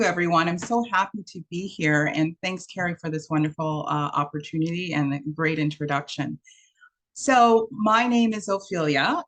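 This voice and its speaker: A woman in her fifties from Trinidad, giving an online workshop talk and telling stories with quoted lines, over a video call. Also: fairly low pitch. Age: 50s. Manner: warm storytelling, acts out quotes. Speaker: workshop speaker.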